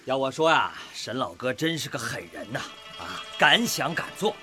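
Actor as hearty, lively village farmer. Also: male voice, mid-range voice, normal speed, close to an everyday voice. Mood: admiring, eager. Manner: jovial, exclaiming, folksy. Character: hearty, eager village farmer full of praise. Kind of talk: Chinese radio drama acting. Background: music.

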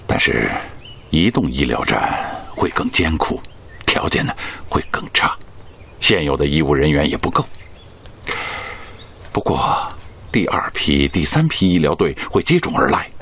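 An actor playing a senior hospital director, measured and authoritative, with deliberate pauses, in a Chinese radio drama. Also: male voice, rumbling low, very animated, vocal fry, fairly bright tone, slight background noise, full character voice.

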